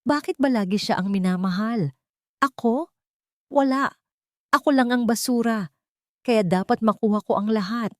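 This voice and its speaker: Narrator voicing a shrill, spiteful villainess. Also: female voice, normal speed, pauses often, darkish tone, no room sound, clean studio sound, lightly acted. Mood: bitter envy. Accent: Filipino. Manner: shrill, venomous outbursts. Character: spiteful, envious woman villain, bitter and defiant.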